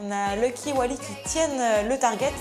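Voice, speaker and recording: A woman's voice: on the high side, a little fast. A woman zookeeper narrating her day for a documentary, candid about her nerves, congested with a head cold. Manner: candid, admits nerves plainly. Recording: background music.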